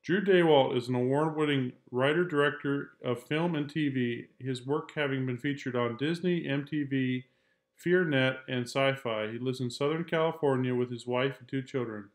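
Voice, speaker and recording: A man's voice: mid-range voice, moderate pace. A man, an art teacher, narrating a children's book read-aloud.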